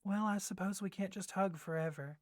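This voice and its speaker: A woman voicing a doting, clingy young yandere boyfriend kidnapper in a soft ASMR roleplay, gushing and coaxing, with flustered hesitations. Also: mid-range voice, brisk, no echo, clean studio sound, natural voice. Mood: tender, clingy.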